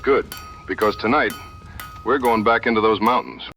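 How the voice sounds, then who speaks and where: A man playing a seasoned, calm frontier hunter in an audio drama, measured, plain-spoken and determined. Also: fairly low pitch, over music, close to an everyday voice. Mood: grave, resolute.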